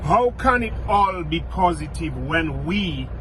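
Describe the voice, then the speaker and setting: A man's voice: fairly high pitch, expressive, takes it slowly, noisy background. A middle-aged man from Dominica with a Dominican accent, speaking bluntly and repeating his points for emphasis. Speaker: vlogger. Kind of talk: outspoken direct-to-camera commentary.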